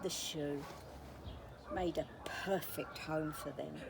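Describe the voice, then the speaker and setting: A woman reading a children's storybook aloud in an expressive, deliberate storytelling manner. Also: deep voice, gravelly, background noise.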